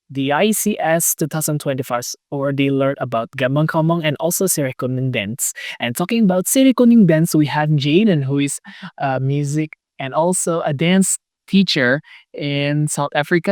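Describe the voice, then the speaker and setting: A man's voice: a little high, dry recording, studio quality. Upbeat man hosting a podcast. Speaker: podcast host.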